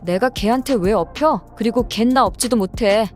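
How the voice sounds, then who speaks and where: A woman's voice: fairly high pitch, normal speed, faint music behind, close to an everyday voice. A woman playing an angry, jealous wife scolding her family in a radio drama. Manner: sharp, scolding.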